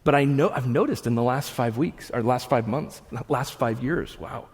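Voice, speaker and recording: A man in his thirties, a pastor preaching a sermon to a room, conversational with asides, swinging from loud bursts to hushed lines. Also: medium pitch, normal speed, husky. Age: 30s. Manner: conversational with frequent restarts and asides. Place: church hall with an audience. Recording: long reverb of a large hall.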